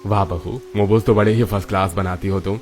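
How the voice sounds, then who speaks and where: A man's voice: deep voice, fairly even intonation, quick pace, a little mellow, close to an everyday voice. A man voicing a kindly, chatty older railway station master in a cartoon story, with faint music behind. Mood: approving, chatty.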